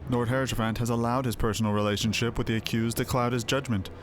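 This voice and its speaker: A man's voice: mid-range voice, fairly even intonation, quick pace, background noise, natural voice. A man voicing a stern, zealous inquisitor in a fantasy game, pompous and severe. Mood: disdainful. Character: stern, zealous male inquisitor presiding over heresy trial. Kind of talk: fantasy game dialogue read aloud. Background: game music.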